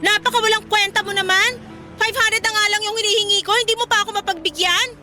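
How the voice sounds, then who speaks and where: A woman's voice: very high-pitched, fairly even intonation, fast. A woman playing a brash, manipulative young girlfriend in a radio drama, with a pushy, dramatic manner. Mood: angry, scornful. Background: faint background music.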